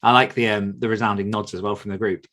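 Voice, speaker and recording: Male podcaster and coach, lower register, reflective, earnest, conversational delivery that circles back on itself. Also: flat delivery, fast talker, fairly bright tone. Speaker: author coach and podcaster answering questions. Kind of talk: conversational question-and-answer talk.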